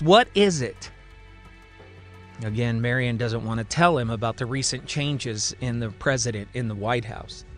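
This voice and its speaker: A man narrating a scripted science-fiction serial as a dramatic storyteller. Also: mid-range voice.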